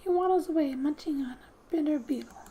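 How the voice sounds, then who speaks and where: A woman speaking softly and breathily, often near a whisper, as she gently reads game text aloud for an ASMR gaming video. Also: high voice, fast, slight background noise.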